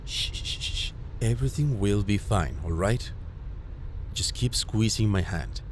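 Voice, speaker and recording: Man acting as caring low-voiced medic, lively intonation. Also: measured pace, a little hiss or hum. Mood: soothing concern. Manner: gentle, reassuring, coaxing. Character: caring, worried male ambulance medic soothing an injured patient. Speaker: voice actor. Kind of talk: immersive audio roleplay acting.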